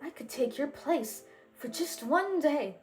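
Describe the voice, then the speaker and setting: A woman's voice: high voice, lively intonation, slow pace, soft background music, voice pushed up. A woman voicing a confident, boyish young prince in a storybook, with formal and emphatic speech. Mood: eager, confident.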